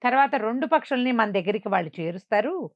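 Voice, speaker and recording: A woman's voice: on the high side, expressive, fast talker, dry recording, highs cut off. A woman telling a tale in a chatty, explanatory, conversational way, checking in with her listener. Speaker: storyteller. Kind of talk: conversational oral storytelling.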